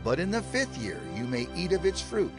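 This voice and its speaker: Expressive, solemn man reading scripture. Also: a little high, moderate pace. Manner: solemn, emphatic, declamatory. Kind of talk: dramatized scripture narration. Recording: music under the voice. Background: music.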